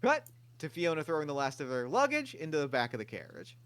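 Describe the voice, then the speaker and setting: A man reading stage directions at a table read, plainly and matter-of-factly. His voice is bright and a bit high, and it sounds close and dry. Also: huge pitch swings, fast, smooth, no room sound.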